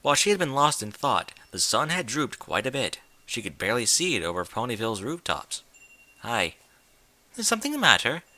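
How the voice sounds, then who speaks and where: A man giving an expressive scripted narration of a story, as in an audiobook. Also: mid-range voice, a little fast, hardly any pauses, no echo.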